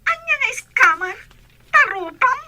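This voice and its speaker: Very shrill, snappish young daughter. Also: female voice, sing-song, unhurried, sharp tone, full character voice. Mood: scornful, impatient. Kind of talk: Ilocano radio comedy drama acting.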